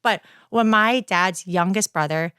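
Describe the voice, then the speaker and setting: A woman's voice: mid-range voice, a little slow, a bit bright, clear, resonant tone, dry recording, studio quality. A woman in her thirties, born in China and raised in Minnesota, telling stories as a podcast guest with a wry, playful manner. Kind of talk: casual interview chat.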